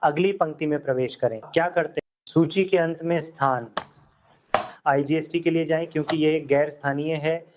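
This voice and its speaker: A man with a somewhat high voice walks the viewer through accounting software one step at a time. He often asks what comes next and then answers it himself, like a tutor talking over a screen recording. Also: steady tone, normal speed, background noise. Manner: step-by-step instructions with questions to the viewer.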